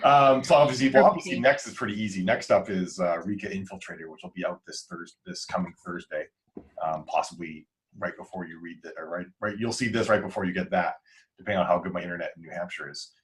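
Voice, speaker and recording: A man's voice: low voice, quick pace, poor audio. A man talking casually as an author on a podcast, rambling and often interrupting himself. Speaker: author, podcast crew member.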